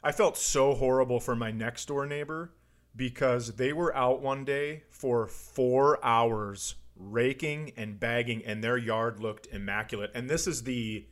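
A man with a mid-pitched voice chats casually as a podcast co-host. He has a wry, teasing manner and talks in a loose comedic style. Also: measured pace.

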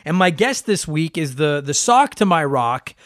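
A man's voice: on the high side, very animated, normal speed, fairly bright tone, dry recording, clean studio sound. Stuffed-up rambling podcast host man. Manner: rambling, profane, self-deprecating. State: stuffed up from allergies. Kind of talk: casual podcast chat.

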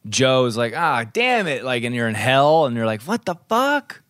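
A man's voice: mid-range voice, big pitch swings, moderate pace, a bit bright, clear, resonant tone, no room sound, clean studio sound. A man co-hosting a podcast in casual chat, teasing and playful, laughing often. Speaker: podcast co-host.

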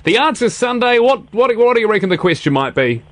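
A New Zealand man talks casually on radio in a fairly high voice. He is patient and restarts often, in a very dry, close recording. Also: sing-song, brisk, no echo. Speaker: radio presenter, now interview guest.

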